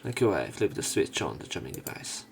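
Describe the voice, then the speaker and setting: A man's voice: a bass voice, sing-song, brisk, creaky. A man reading a visual novel aloud as a let's play host, with some commentary of his own and the odd stumble over words. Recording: faint music behind. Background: soft game music.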